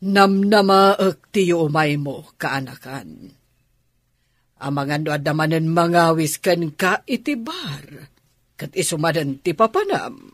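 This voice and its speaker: A man playing an older, insistent aunt in a radio drama, speaking in a measured, coaxing manner. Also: fairly high pitch, animated, a bit bright, dry recording, studio quality, full character voice. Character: older aunt, insistent and inviting toward her niece.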